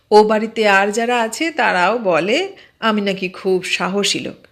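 A woman voicing a mild, stubbornly sceptical man in an audio story, explaining things in a measured, matter-of-fact way. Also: mid-range voice, a bit bright. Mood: calm, unruffled.

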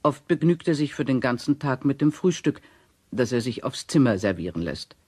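Sachlicher Erzähler, trocken aufgenommen. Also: male voice, medium pitch, big pitch swings, darkish tone, husky, no echo. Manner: measured, formal, matter-of-fact. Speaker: reader of a witness statement. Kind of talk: scripted radio play narration.

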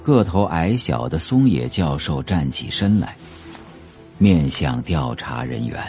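Deep, creaky-voiced male narrator. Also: sing-song, measured pace, darkish tone, soft background music. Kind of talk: scripted audio drama narration of a novel.

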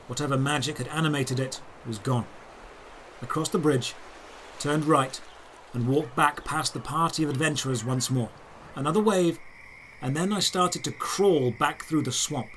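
A man narrating a scripted audio drama in the first person, in measured, deliberate phrases. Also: on the high side, fairly bright tone, slight background noise. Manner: measured, storytelling delivery in short deliberate phrases.

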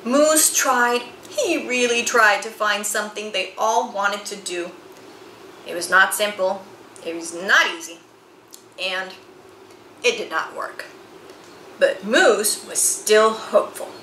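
A woman in her forties reading a children's picture book aloud in a room with bookshelves, telling the story slowly and expressively. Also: mid-range voice, reverberant, a little hiss or hum, low-quality recording. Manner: expressive storytelling, slow and animated. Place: room with bookshelves and chalkboard, indoors.

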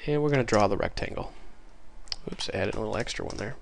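A man with a congested voice from a cold, casually talking through a coding tutorial while typing, chatty and easily excited. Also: on the low side, fast talker, creaky, slight background noise. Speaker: programming tutorial host.

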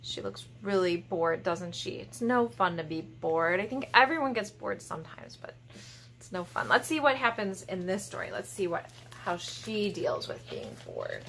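A woman's voice: medium pitch, very animated, normal speed, vocal fry, fairly bright tone, slight background noise. A middle-aged woman hosting a children's storytime, speaking expressively and directly to young listeners as if reading aloud. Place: small indoor room. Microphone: camera a short distance away.

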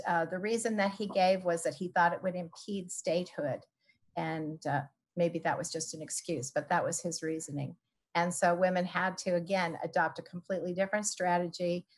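Low-voiced woman explaining on a video call. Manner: measured, explanatory, with frequent ums. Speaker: historian panelist. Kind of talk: panel discussion answers.

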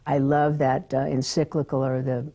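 Older woman, TV interview guest; low, gravelly, husky voice, earnest and affirming. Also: flat delivery, moderate pace, mellow. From Southern California. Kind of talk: television interview. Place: studio set, indoors.

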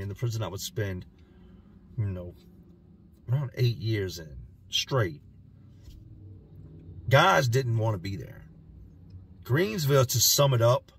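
A man in his thirties with a raspy voice, vlogging bluntly from a parked car. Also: medium pitch, a little hiss or hum. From Virginia, US. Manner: blunt, plain-spoken street talk. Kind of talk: casual talking-head vlog. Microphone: phone camera at arm's length.

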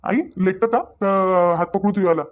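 A man's voice: high voice, normal speed, phone-quality sound, lightly acted. A man voicing an exasperated, worried grown son in a cartoon, folksy and fretful, with a Varhadi Marathi accent. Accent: Varhadi, Vidarbha Marathi. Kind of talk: cartoon dialogue.

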